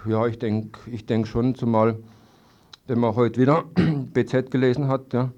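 A man answers hesitantly in a spontaneous radio interview, haltingly and often repeating words. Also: mid-range voice, flat delivery, mellow. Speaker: interviewed evicted trailer-camp resident. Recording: dull lo-fi archival radio recording.

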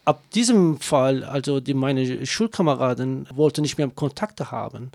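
A Kurdish singer whose first language is Kurdish, speaking hesitant, broken German with many fillers while being interviewed. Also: male voice, mid-range voice, vocal fry, a little mellow, dry recording, studio quality. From Kurdish region of Turkey. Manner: halting non-native German with many fillers. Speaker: singer, guest. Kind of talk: interview answers.

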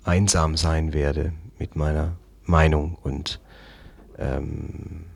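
A man speaking as a political guest in an unscripted discussion, haltingly searching for words and repeating phrases. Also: extremely low pitch, flat delivery, creaky, a little mellow, husky. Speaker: interview guest, Green local politician.

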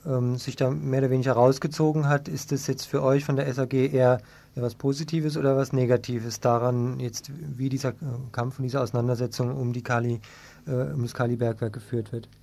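A man's voice: medium pitch, flat delivery, brisk, vocal fry, mellow. A man working as a radio host, asking questions in an informal local interview.

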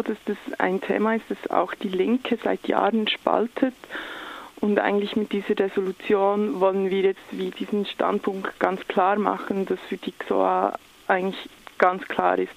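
A woman's voice: mid-range voice, vocal fry, rough recording. A woman with a Swiss German accent, speaking as an activist spokesperson in a radio interview, somewhat hesitant as she searches for words. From Switzerland.

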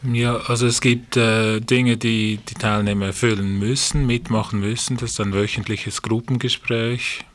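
A man's voice: fairly low pitch, fairly even intonation, normal speed, hardly any pauses, slight background noise. A man giving explanatory answers in an interview as a member of a clinic team.

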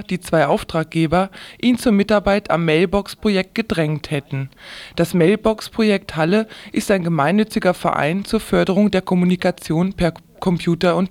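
A man's voice: high-pitched, no room sound. A man reading scripted news as a radio presenter.

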